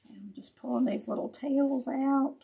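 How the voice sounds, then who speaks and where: A woman with a raspy voice, chatting casually with viewers as she hosts a live craft tutorial. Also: sits high, steady tone, moderate pace, warm tone. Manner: chatty, laughs often, talks to viewers as y'all.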